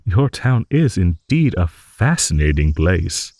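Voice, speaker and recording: Casting: male actor as ancient genial dragon for fantasy audio, deep and unhurried, given to musing rambles. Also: very animated, no echo, heavily acted. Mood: gently teasing. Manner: rambling, musing, with hums and soft chuckles. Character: rambling old dragon, genial, wistful and a little vain. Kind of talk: improvised fantasy audio monologue.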